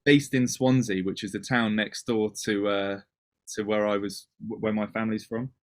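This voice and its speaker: A man from Wales, a DJ and producer, chatting as a guest in an interview, talking in quick bursts with many restarts. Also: on the low side, fairly even intonation. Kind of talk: casual interview chat.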